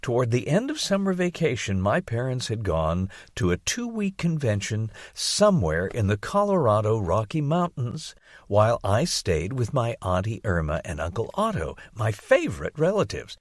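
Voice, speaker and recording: A male audiobook narrator tells a first-person story theatrically. His mid-range voice swings widely in pitch, recorded close and very dry. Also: big pitch swings, moderate pace, dry recording.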